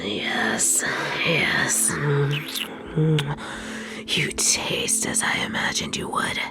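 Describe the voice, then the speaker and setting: Woman voicing an obsessive evil queen, unhurried regal speech, gravelly breathy murmur, seductive, commanding, audio drama. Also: extremely low pitch, heavily acted. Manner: formal, regal, slow seductive delivery. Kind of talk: intimate scripted audio roleplay.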